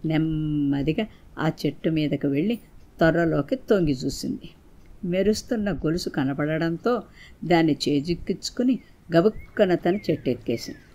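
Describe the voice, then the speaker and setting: A woman's voice: deep voice, warm tone. A woman narrating a scripted moral folktale for children as a storyteller.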